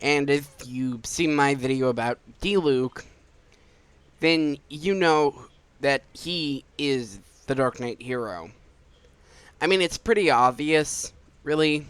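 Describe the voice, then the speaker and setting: A man's voice: medium pitch, slow pace, smooth, no room sound. A man giving informal gameplay commentary, stumbling over his words now and then in a self-deprecating way. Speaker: gaming video host.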